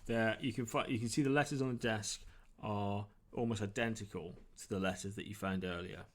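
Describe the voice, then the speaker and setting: A man narrating a tabletop role-playing game as game master, describing things in a halting, improvised, conversational way. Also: lowish voice, steady tone.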